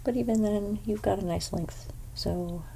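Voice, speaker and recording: A young woman with a stuffy nose giving an ASMR haircut role play as a hairstylist. She speaks softly, often slips into whispers, and makes gentle salon small talk. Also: mid-range voice, unhurried, gravelly, a little hiss or hum, rough recording. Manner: soft-spoken, drifting into whispers.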